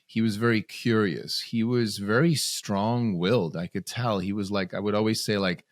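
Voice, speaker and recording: A man's voice: lowish voice, steady tone, normal speed, dry recording, clean studio sound. A man, a podcast guest telling his story in bursts, with sudden rises and restarted phrases. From Switzerland. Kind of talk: casual storytelling interview.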